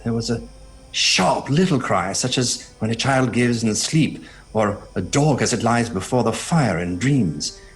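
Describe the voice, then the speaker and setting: An actor playing a sceptical, fearful doctor in a gothic audio drama, speaking in an earnest, dramatic way. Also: male voice, medium pitch, expressive, a little slow. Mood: haunted. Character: haunted male doctor, sceptical and fearful, recounting horrors. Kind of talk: gothic audio drama diary narration and dialogue. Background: music.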